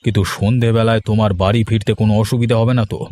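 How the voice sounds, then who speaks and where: A man voicing a generous, sentimental village gentleman in a cartoon, speaking effusively and benevolently. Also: fairly low pitch, flat delivery, racing, lightly acted. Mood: friendly, coaxing. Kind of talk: cartoon character dialogue.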